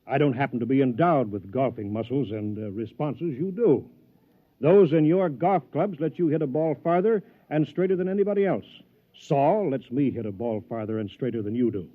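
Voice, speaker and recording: A man's voice: medium pitch, lively intonation, moderate pace, close to an everyday voice. An actor playing a smug, contemptuous wealthy man in 1950s radio drama style, scornful and lecturing. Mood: lecturing, proud. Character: smug, contemptuous wealthy male rival, coldly competitive. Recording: tinny vintage radio recording.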